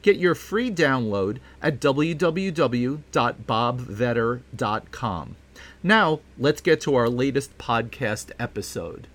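A man hosting a podcast, introducing a guest and reading a promo in a measured way. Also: medium pitch, lively intonation, a bit bright. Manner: measured, spells out web addresses slowly. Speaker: podcast host.

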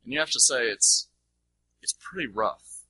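A man's voice: mid-range voice, expressive, normal speed, sharp tone, slightly rough, no echo, poor audio. A man teaching in a question-and-answer session, speaking in an informal, discursive way with rhetorical questions.

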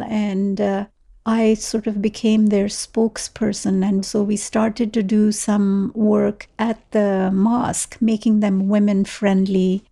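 Older woman from Kashmir, gravelly and mellow. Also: mid-range voice. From Kashmir, India. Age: 70s. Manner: reflective, with frequent fillers and restarts. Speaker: interviewee. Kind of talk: personal storytelling.

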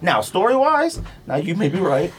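A man with a fairly high, very animated voice holds court as a podcast host. He talks in emphatic slang, repeating himself as he argues, with some noise behind him. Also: moderate pace. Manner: emphatic, repetitive, slangy, argumentative. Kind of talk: animated sneaker debate, casual chat.

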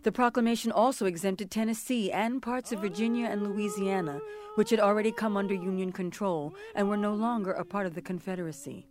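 Female narrator, mid-range pitch, scripted historical narration, measured, formal. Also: fairly even intonation, darkish tone.

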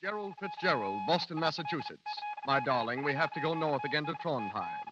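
An actor playing a telegraph operator reading out cables in a 1930s radio drama. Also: male voice, fairly high pitch, huge pitch swings, brisk. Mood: businesslike. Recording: dull radio recording, loud telegraph noise.

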